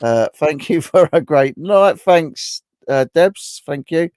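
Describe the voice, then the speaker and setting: A man's voice: on the high side, expressive, slow, a little mellow, no echo. A man hosting a casual livestream from his garden shed, teasing his co-host and laughing as he signs off. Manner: teasing, laughing, chatty sign-off. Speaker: channel host.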